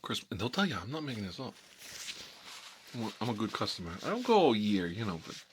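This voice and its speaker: Man as a middle-aged mob boss, American accent, lowish voice, folksy, emphatic, repetitive, ASMR character monologue, noisy recording. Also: sing-song, quick pace. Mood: boastful, rambling. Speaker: ASMR storyteller playing a recurring character. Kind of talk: ASMR role-play, rambling casual monologue.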